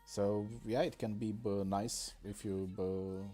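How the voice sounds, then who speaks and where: A man from Romania, streaming a mobile game in casual, halting commentary full of pauses and fillers, on a desk microphone. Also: on the low side, fairly even intonation, mellow, faint music behind.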